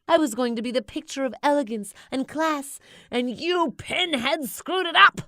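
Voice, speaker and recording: A woman's voice: sits high, moderate pace, bright, forceful tone, no room sound, clean studio sound, voice pushed up. A woman voicing a proud, furious female supervillain in a children's storybook, ranting with dramatic indignation and self-pity. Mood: furious, indignant.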